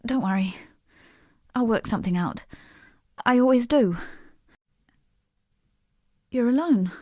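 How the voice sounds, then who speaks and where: High-voiced, grieving exile woman. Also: a little mellow, dry recording, clean studio sound. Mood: pleading, resigned. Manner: earnest, subdued, halting. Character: grieving young woman exile, earnest and guarded. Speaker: game non-player character. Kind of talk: dramatic video game voice acting.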